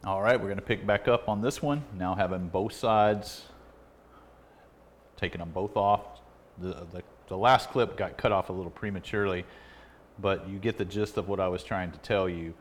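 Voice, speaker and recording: Low-pitched man explaining repairs, noisy recording. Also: normal speed. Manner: plain-spoken, step-by-step explaining. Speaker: repair video host. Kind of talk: casual hands-on repair tutorial. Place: indoor electronics repair workbench. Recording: clearly noisy workshop recording.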